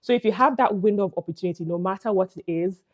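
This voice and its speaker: A woman's voice: mid-range voice, fast talker, darkish tone. A young woman with a Nigerian English accent, telling a personal story to camera in a casual, chatty way full of "like" and "you know". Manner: chatty, frequent "like" and "you know", laughs between phrases. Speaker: vlogger.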